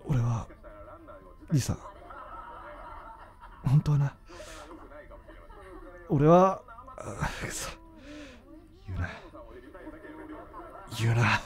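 An actor playing a gentle, devoted young man who hides his heartbreak, in a Japanese radio drama. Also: medium pitch, huge pitch swings, very slow, long pauses, full character voice. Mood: pained. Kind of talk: radio drama acting with narration.

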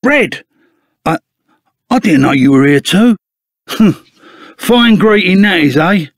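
Gravelly Cockney bandit bruiser. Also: male voice, high-pitched, animated, slow, dry recording, studio quality, exaggerated voice. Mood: surprised, gruff. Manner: blunt, slangy, blustering. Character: burly, blustering, loyal bandit sidekick with rough manners. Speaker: video game voice actor. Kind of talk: animated video game character acting.

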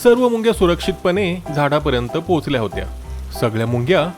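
Guy narrating a kids story, high voice, very lively delivery, light music under it. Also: fast. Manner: expressive, dramatic storytelling. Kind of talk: scripted children's story narration.

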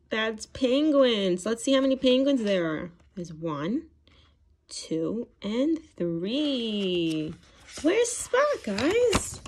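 A woman reading a picture book aloud to young children in an animated, expressive way, with gasps, questions and encouraging praise. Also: high-pitched. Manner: animated, expressive, sing-song. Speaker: children's read-aloud storyteller. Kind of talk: interactive picture-book read-aloud for toddlers.

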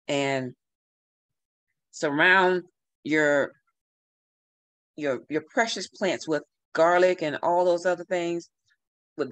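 A middle-aged woman giving relaxed, repetitive gardening advice over a video call from a room at home. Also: sits low, no room sound. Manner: relaxed, advising, repeats herself. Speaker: gardening speaker. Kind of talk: casual online talk. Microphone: video call webcam.

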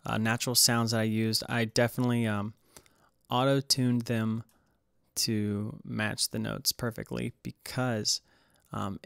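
A man's voice: mid-range voice, gravelly, fairly bright tone, no echo, studio quality. A man giving a casual, hesitant tutorial voice-over as he explains music production. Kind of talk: casual screen-recorded tutorial narration.

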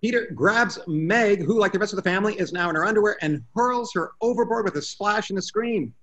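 A man's voice: sits high, brisk, a bit bright. A man reading stage directions as scripted narration at a table read over a video call. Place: room at home, video call.

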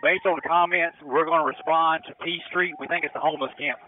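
Fast-talking man on thin radio. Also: a little high, steady tone, phone-quality sound, slight background noise. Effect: two-way radio. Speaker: firefighter on an engine. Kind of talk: quick emergency radio traffic. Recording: thin, dry two-way radio audio.

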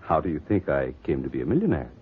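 An actor playing a suave, self-assured millionaire with formal, urbane speech, in 1940s radio drama style. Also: male voice, rumbling low, very animated, quick pace, a little hiss or hum. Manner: smooth-talking, formal, urbane. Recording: muffled vintage 1940s radio recording.

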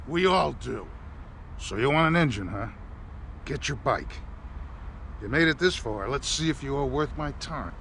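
An actor playing a gruff, suspicious older American war veteran who builds engines, brusque and challenging, in a video game. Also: male voice, medium pitch, lively intonation, a little slow, long pauses, a bit bright, a little hiss or hum. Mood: gruff challenge. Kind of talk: video game cutscene acting.

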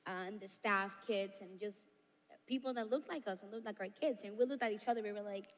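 A woman with a Puerto Rican accent talks in a relaxed way and laughs easily. Her voice sits in the middle of the female range. It rings with a long reverb from a large church hall. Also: mid-range voice, fairly quick. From Puerto Rico. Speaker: worship team singer, guest. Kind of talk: casual interview chat.

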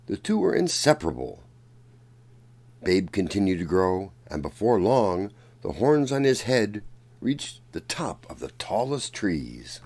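A man reading a children's story aloud in a deliberate, expressive storytelling manner. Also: low-pitched. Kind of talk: read-aloud storybook narration.

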